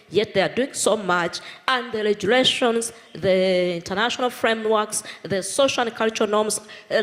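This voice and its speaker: A woman's voice: lowish voice, in a large hall. A woman in the audience making an earnest comment and request at a conference panel, listing points as she goes. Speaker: audience member asking a question.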